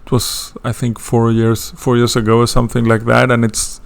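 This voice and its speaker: Hesitant Swedish man, gravelly voice. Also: medium pitch, monotone, normal speed. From Sweden. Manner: hesitant, many fillers and restarts. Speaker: astrology teacher. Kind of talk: casual course introduction.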